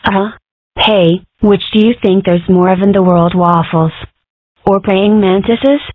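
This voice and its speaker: A woman's voice: mid-range voice, fairly even intonation, unhurried, no room sound, natural voice. A defiant, guilt-ridden young fox girl played by a woman in a comic strip cartoon. The sound is thin and phone-like, very dry with no room around it. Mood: defensive.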